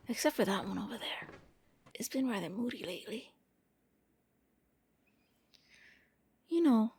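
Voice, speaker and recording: A woman voicing a gentle, lonely young vampire prince in an anime-style ASMR roleplay, speaking softly and coaxingly with a playful, hesitant manner. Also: fairly high pitch, a little hiss or hum, lightly acted. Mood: playful, chatty.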